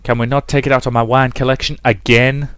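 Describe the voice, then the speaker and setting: A man's voice: mid-range voice, flat delivery, fairly quick, fairly bright tone, clear, resonant tone, dry recording, clean studio sound, lightly acted. A man voicing a suave, defensive aristocratic fiancé from a visual novel, protesting in a weary, formal way. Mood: exasperated.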